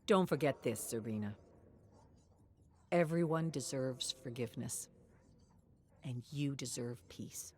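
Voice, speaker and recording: Lady narrator doing a stern earnest dad in a story, grave and emphatic, low voice, slight noise. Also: slow, long pauses, darkish tone. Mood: gentle, solemn. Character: stern, earnest immigrant father, bus driver, counselling daughter.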